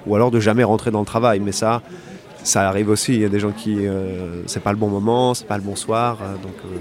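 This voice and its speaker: A man's voice: fairly low pitch, steady tone, a crowd in the background. Reflective man weighing his words. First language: bilingual French and Italian. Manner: reflective, halting, many fillers. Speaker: actor and director, guest. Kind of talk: interview.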